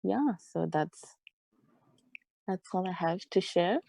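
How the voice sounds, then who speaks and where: A young woman softly and thoughtfully sharing her personal experience in a discussion over a video call. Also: fairly low pitch, animated, slow, gravelly. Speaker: discussion participant.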